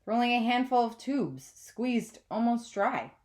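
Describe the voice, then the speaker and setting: A woman reading a children's novel aloud as a teacher, with expressive scripted narration. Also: on the high side, normal speed.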